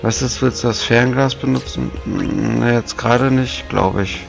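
A man's voice: mid-range voice, normal speed, gravelly, soft background music. A man with a cold, making wry, joking asides as he comments on a video game. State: has a cold. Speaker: let's play commentator. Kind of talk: casual gameplay commentary.

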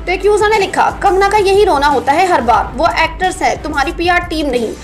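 A woman's voice: extremely high pitch, fairly quick. A woman narrating scripted entertainment news as a voice-over. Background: background music.

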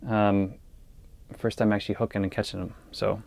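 A man's voice: fairly low pitch, flat delivery, moderate pace, gravelly, darkish tone, dry recording. A man talking casually to viewers as a fishing vlogger, in an easygoing, rambling way. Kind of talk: casual vlog chat to viewers. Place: small ice fishing shelter on a frozen lake. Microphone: action camera facing him.

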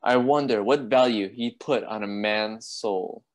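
A man playing a composed, grave asylum doctor in a radio play, speaking with measured, clipped authority. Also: lowish voice, close to an everyday voice. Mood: grave, reflective.

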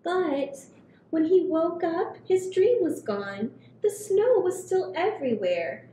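Slow, expressive woman reading to children. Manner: expressive, drawn-out storytelling for small children. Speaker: library story hour host. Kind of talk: read-aloud of a children's picture book. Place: small indoor room at a table. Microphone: headset. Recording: roomy echo, low rumbling noise.

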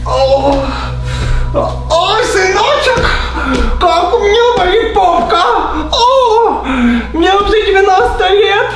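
Guy doing a super high whiny old granny, slow and echoey. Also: expressive, music in the background, full character voice. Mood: whining. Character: whiny ninety-year-old grandmother, complaining.

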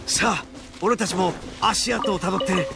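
An actor playing a brash, hot-blooded young oni gang boss in a Japanese video game, with gravelly, rough, boastful speech. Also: male voice, sits high, normal speed, over loud music. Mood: resolute.

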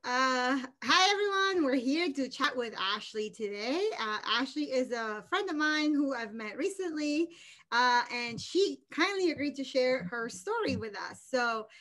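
A woman's voice: high voice, lively intonation, unhurried, pushed and bright. A woman in her thirties hosting a casual interview over a video call, friendly and hesitant with many fillers, recorded on a laptop webcam at home. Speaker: host. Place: home room with wood ceiling.

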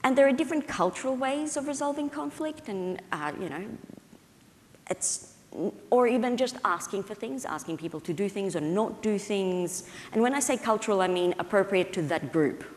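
A woman in her thirties giving an explanatory, conversational conference keynote, with halting, hesitant phrasing. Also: medium pitch, expressive. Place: indoor stage at a conference, at a podium. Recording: reverberant hall sound.

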